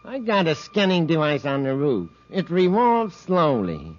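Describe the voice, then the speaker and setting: An actor playing a gloating criminal villain in a 1950s radio serial, speaking theatrically and savouring every menacing detail. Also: male voice, a little high, sing-song, a little slow. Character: gloating criminal villain with a deadly beam weapon. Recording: muffled old 1950s radio recording.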